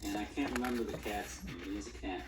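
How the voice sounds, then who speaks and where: A man's voice: fairly low pitch, fast, a crowd in the background. A man co-hosting with casual, conversational commentary. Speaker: co-host commenting on the story. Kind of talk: casual chat.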